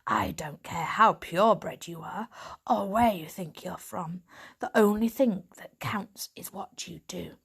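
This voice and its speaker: A woman voicing a tough, suspicious female street cat, speaking in a gravelly, breathy whisper with curt, gruff questions. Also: fairly low pitch, animated, measured pace, heavily acted. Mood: scornful.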